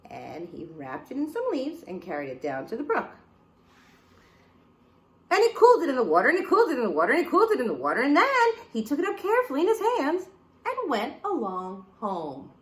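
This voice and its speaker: Animated woman reading a picture book aloud. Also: high voice, brisk. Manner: animated, playful asides to listeners. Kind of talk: children's read-aloud.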